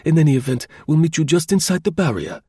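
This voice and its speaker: A man's voice: a little high, very animated, fast, dark tone, slightly rough, no echo, voice pushed up, heavily acted. A man voicing a masterful, sarcastic agent in command, curt, decisive and dry, in a dramatised storybook. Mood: urgent, decisive. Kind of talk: dramatised audiobook dialogue.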